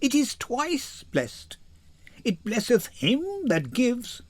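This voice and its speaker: An older man voicing a poised young woman disguised as a lawyer, measured and eloquent, in a dramatic Shakespeare reading. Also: extremely high pitch, very animated, no room sound, heavily acted. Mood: earnest, composed.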